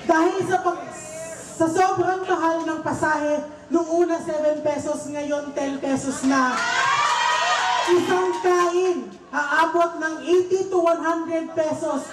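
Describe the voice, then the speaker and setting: A young woman with a Filipino accent delivers an impassioned student protest speech. Her voice is high, and the recording carries a clear echo. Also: fairly even intonation, slow pace, over a noisy crowd, heavy background noise.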